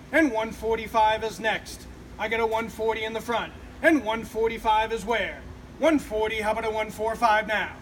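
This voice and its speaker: A middle-aged man working as an auctioneer, calling bids in a rapid, rhythmic, repetitive chant outdoors on a street. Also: high voice, fairly bright tone. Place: outdoors on a street beside a building. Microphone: far camera. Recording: distant outdoor recording, street noise.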